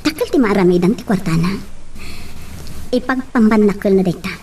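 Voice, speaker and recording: A woman's voice: medium pitch, moderate pace, mellow, close to an everyday voice. A woman acting an uneasy, confiding friend in an amateur Ilocano audio drama, with an Ilocano accent. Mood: doubtful, confiding. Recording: distant mic with faint noise.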